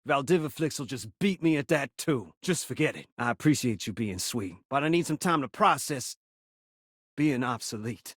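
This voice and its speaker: Wildly animated, rhyming talking television. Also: male voice, high-pitched, dry recording, studio quality. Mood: dejected, self-pitying. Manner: speaks in rhymes, theatrical. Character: talking television, flamboyant rhyming showman, self-pitying and dramatic. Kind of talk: video game character voice acting.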